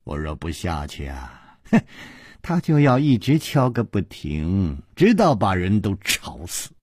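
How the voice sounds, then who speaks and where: Husky, sly young maidservant , acted by a man. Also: low voice, sing-song, unhurried, dark tone, no room sound, studio quality, voice pushed down, full character voice. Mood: exasperated. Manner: sighing, coy, drawling. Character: sly, sardonic young maidservant woman, weary of her duty.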